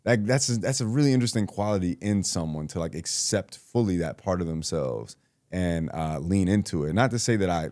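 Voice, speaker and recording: A man's voice: lively intonation, fairly quick, a little mellow, no echo. A young man co-hosting a podcast, chatting casually in a laid-back, joking way with lots of fillers. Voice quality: very deep voice. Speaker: podcast co-host. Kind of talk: casual chat.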